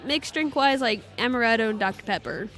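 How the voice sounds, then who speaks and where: High-voiced young woman, podcast guest. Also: fairly quick, fairly bright tone, faint music behind. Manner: short easygoing answers. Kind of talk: casual chat.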